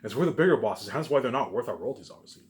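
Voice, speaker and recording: Fast-talking man in an emphatic, rambling monologue. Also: mid-range voice, animated. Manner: repetitive, with frequent swearing and emphatic tags; swings between loud emphatic bursts and quieter asides. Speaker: vlogger.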